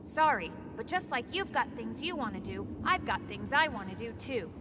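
A woman's voice: on the high side, very animated, normal speed, noisy background. A woman voicing a spirited, frank young fighter in a video game, breezy and informal. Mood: breezy, fond.

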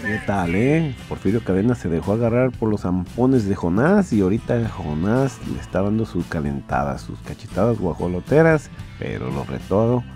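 A male podcast host chats in a folksy Mexican accent. His voice is deep and dark with lively intonation, over light guitar music. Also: moderate pace, few pauses. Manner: folksy, chatty. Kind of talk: casual commentary on a radio serial.

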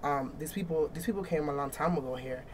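Fast-talking young talk show host. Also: male voice, a little high, a little hiss or hum. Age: young adult. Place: studio.

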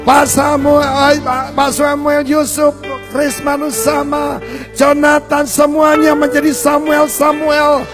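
A man's voice: very high voice, completely flat delivery, slow pace. A male pastor leading a fervent prayer, half-singing his words. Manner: fervent, half-sung praying. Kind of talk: closing prayer and exhortation. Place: church service. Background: faint worship music.

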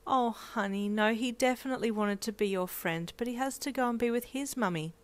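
A woman voicing a caring mother who speaks softly and soothingly. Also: fairly high pitch, a little fast, voice pushed up, close to an everyday voice. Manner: soft and soothing. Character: gentle, caring young mother.

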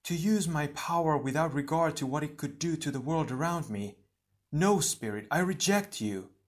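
A noble water heroine from a fantasy storybook, voiced by a man. The pitch is lifted well up. The delivery is emphatic and defiant. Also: normal speed, close to an everyday voice. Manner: raised, emphatic.

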